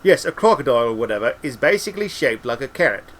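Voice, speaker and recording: Guy doing a muddled old-guard wizard, earnest n weary, halting, bright forceful voice, storybook. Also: medium pitch, sing-song. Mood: flustered, earnest. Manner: halting, searching for words.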